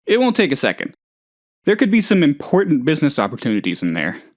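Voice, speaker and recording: A man voicing a naive, excitable oddball office boss in a video game, chatty and rambling, with hesitant, earnestly gullible delivery. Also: fairly high pitch, quick pace. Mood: eager. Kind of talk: video game character dialogue.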